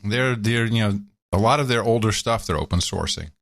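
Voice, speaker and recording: A man's voice: lowish voice, brisk, vocal fry, fairly bright tone, no room sound, clean studio sound. A man co-hosting a podcast, talking in an emphatic, insistent way and laughing often. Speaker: podcast co-host. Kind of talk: casual opinionated chat.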